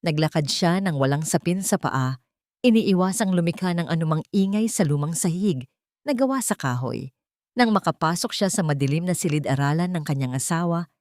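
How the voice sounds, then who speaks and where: A woman's voice: deep voice, a little mellow, no echo, clean studio sound. A woman reading a scripted dramatic story as its narrator.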